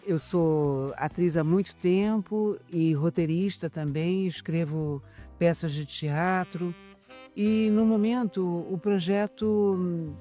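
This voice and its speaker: A woman's voice: on the low side, warm tone. A middle-aged Brazilian woman, an actress and co-writer, speaking in a making-of interview. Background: background music.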